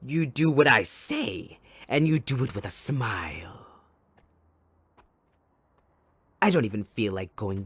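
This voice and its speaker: A man playing a gleeful, sadistic supervillain kidnapper in an audio roleplay, with a theatrical, mocking delivery that swings between soft and loud. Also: medium pitch, breathy edge, no echo. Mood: cold menace. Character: sadistic, gleeful male supervillain kidnapper taunting his captive sidekick.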